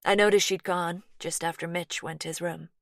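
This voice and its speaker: A woman voicing an anxious, softly spoken young American woman with a light twang. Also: lowish voice, steady tone, moderate pace, vocal fry, no echo, clean studio sound. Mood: defensive, anxious. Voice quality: soft, firm.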